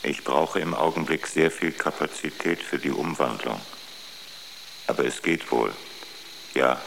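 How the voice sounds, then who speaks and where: A man playing a calm ship's computer in a radio drama, speaking in a measured, emotionless and formal way. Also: extremely low pitch, vocal fry, full character voice. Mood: calm, detached. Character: ship's computer, calm male machine intelligence. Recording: echoey long reverb, noisy background.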